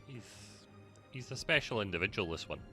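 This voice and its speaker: A man's voice: lowish voice, animated, brisk, bright, forceful tone, faint music behind. A man giving casual gameplay commentary as a streamer, in offhand muttered asides. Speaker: streamer, let's player.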